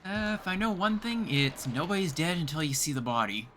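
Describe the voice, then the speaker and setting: A man's voice: fairly high pitch, lively intonation, brisk, fairly bright tone, slight background noise. A man from Canada casually commentating as he livestreams a game, thinking aloud in short remarks. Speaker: livestreaming gamer.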